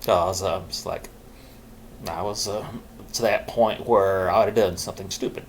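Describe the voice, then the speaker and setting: A middle-aged man speaks in a fairly low voice, telling his own story in a candid, reflective way. He pauses, restarts and keeps his naturally loud voice reined in. A faint hiss sits under the recording. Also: steady tone. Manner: says he is keeping his loud voice low on purpose. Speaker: vlogger sharing his mental health story.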